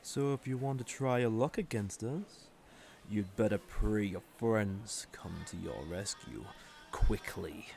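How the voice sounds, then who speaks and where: An actor playing a haughty, sardonic villain commander in a roleplay audio drama, condescending and drawling, with mock-sweet menace. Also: male voice, on the low side, lively intonation, slow pace, creaky, darkish tone, faint music behind, exaggerated voice. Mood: mocking, menacing.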